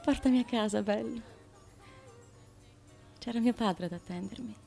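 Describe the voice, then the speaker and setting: An actress playing a murdered young queen of ancient Corinth in a radio drama, dazed and proud, with a breathy, incantatory, repetitive delivery. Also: on the high side, moderate pace, mellow, faint music behind, natural voice. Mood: dreamy, remembering. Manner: incantatory, repetitive, shifting from murmurs to cries. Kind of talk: theatrical radio drama monologue and dialogue.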